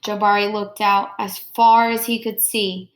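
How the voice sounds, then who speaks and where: A woman's voice: medium pitch, flat delivery, measured pace. A woman teaching young students, reading a story aloud and explaining it step by step in an instructional way. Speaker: elementary school teacher. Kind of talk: read-aloud lesson for children.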